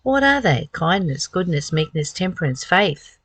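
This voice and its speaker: A woman's voice: lowish voice, big pitch swings, normal speed, fairly bright tone, breathy edge, dry recording. A woman giving a spiritual talk as a teacher, reading and reflecting with rhetorical questions.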